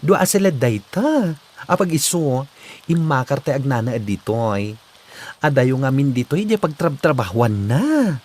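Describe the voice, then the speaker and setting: A man acts out an encouraging cousin with an Ilocano accent in a comedy drama. He is genial and animated, drawing out phrases with a widely varying pitch. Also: unhurried. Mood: amused, welcoming. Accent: Ilocano Filipino. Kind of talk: amateur comedy drama acting.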